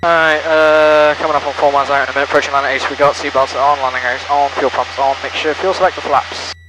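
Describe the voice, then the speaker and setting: Man narrating his flying over loud engine noise. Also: on the high side, fast talker. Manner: clipped, repetitive checklist-like phrasing. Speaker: pilot and flying vlogger. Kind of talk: talking through flight manoeuvres aloud, with radio calls. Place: small plane cockpit in flight. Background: loud steady aircraft engine and radio.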